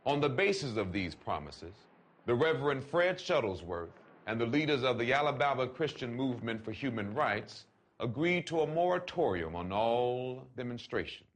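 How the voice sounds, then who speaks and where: A man reads a written letter aloud in a solemn, oratorical way, with deliberate phrasing and emphatic pauses. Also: medium pitch, lively intonation. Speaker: reader of a letter.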